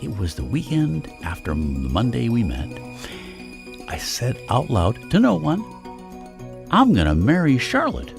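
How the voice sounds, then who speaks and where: An actor playing a wistful older father in a comic audio drama, confessing in a halting, wry way. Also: mid-range voice, huge pitch swings, creaky, music in the background, exaggerated voice. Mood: wistful, romantic.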